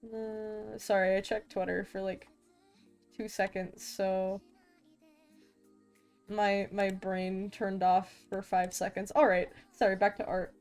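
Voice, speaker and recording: Young female art streamer, slow easygoing pace, frequent stutter, self-deprecating. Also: mid-range voice, flat delivery, a little mellow, soft background music. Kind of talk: casual live-stream chat while drawing.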